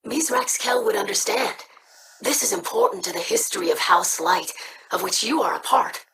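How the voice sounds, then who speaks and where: Eager young alien historian, clear bright voice, light hiss behind. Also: female voice, medium pitch, very animated, normal speed. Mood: earnest. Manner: earnest, eager. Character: young alien female scholar, earnest, curious.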